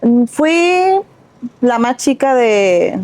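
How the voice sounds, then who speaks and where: A woman's voice: on the high side, big pitch swings, extremely slow, a little mellow. A middle-aged woman from Sinaloa, reflectively telling her life story as a guest in an interview, with small restarts. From Sinaloa, Mexico. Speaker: guest, entrepreneur.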